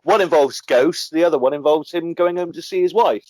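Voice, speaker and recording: A man's voice: brisk, darkish tone, dry recording. Hesitant man sharing trivia. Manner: trails off, hesitant, low-key trivia. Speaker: podcast guest. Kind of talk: casual chat about music.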